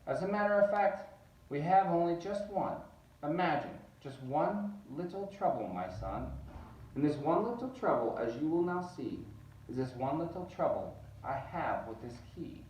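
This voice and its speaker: Man voicing a friendly, fretful storybook doorman, higher-pitched. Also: lively intonation, moderate pace, darkish tone, slight background noise, natural voice. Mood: welcoming, confiding. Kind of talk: children's storybook read-aloud. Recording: roomy, distant, dull sound.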